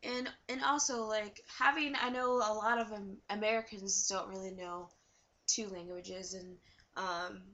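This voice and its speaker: Young woman, student interviewer, hesitant, halting, bright voice, dull recording. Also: mid-range voice, steady tone. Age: young adult. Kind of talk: class interview.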